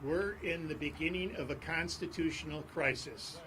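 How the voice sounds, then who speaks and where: A man speaking at an outdoor press conference on court steps, in a forceful, repetitive rally cadence. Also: on the high side, normal speed, slight background noise. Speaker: advocacy group speaker. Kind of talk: impassioned press conference speech.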